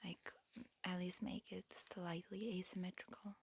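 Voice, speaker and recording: A woman voicing a polite alien examiner in human guise, whispering softly and hesitantly in an ASMR roleplay. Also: fairly low pitch, flat delivery, unhurried, creaky, husky, heavily acted. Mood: gently clinical. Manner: soft, hesitant, politely procedural.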